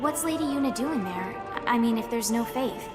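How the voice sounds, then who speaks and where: A woman's voice: a little high, animated, a little fast, close to an everyday voice. A woman playing a skeptical, impatient seventeen-year-old girl with dry, scornful asides in a scripted audio drama. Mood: questioning, skeptical. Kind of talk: scripted audio drama dialogue and inner narration. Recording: music behind the voice.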